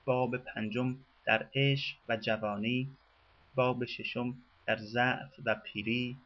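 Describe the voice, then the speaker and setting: A man with a voice of middling pitch reads a scripted documentary narration in a measured, formal way. The studio recording sounds somewhat muffled and lo-fi. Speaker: radio narrator.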